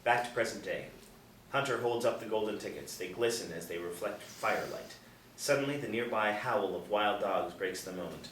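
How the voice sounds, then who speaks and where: A man's voice: fairly low pitch, moderate pace, fairly bright tone. A man reading stage directions as scripted narration at a table reading. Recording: roomy, distant mic, faint noise.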